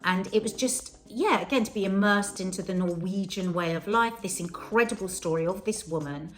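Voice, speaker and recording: A woman's voice: mid-range voice, moderate pace, faint music behind, poor audio. A woman talks in a reflective, wandering way in a casual film chat. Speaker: podcast co-host.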